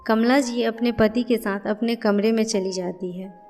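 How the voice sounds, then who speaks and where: A woman's voice: a little high, steady tone, unhurried, a little mellow, faint music behind. A woman narrating a scripted moral family story.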